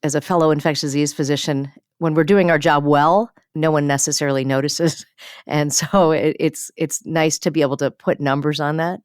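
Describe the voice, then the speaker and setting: A woman physician hosting a podcast, interviewing in a measured, professional style. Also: low voice, steady tone, clear, resonant tone, no room sound, studio quality. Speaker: infectious disease physician.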